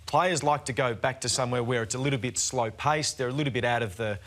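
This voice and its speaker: A man's voice: mid-range voice, quick pace, a bit bright. An Australian man talking casually as a sports panellist, informal and a little hesitant as he works through his thoughts. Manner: hesitant, informal, self-deprecating. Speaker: panellist, former player.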